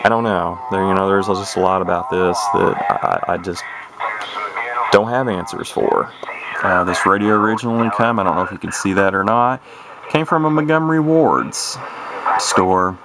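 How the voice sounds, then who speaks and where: A man's voice: deep voice, normal speed. A man casually showing off an old CB radio on video, rambling and hesitant with lots of fillers and restarts. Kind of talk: casual show-and-tell video. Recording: noisy home video with radio hiss.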